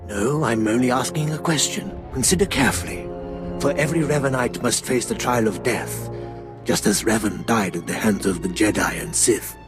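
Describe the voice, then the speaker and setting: An older actor playing a solemn, ceremonial cult elder in a video game, speaking gravely and deliberately. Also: male voice, medium pitch, music in the background, close to an everyday voice. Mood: solemn, probing. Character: solemn old cult elder testing initiates. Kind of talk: scripted video game dialogue.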